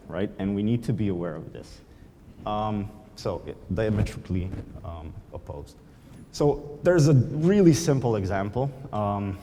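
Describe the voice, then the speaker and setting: A man's voice: on the low side, warm tone, slight background noise. A man whose first language is Slovenian, giving a conference talk and explaining with frequent ums. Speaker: conference speaker. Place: large conference hall. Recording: reverberant hall.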